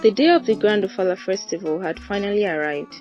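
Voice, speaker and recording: A woman's voice: mid-range voice, lively intonation, fast talker, darkish tone, dull audio, faint music behind. A woman narrating a scripted folktale as a storyteller.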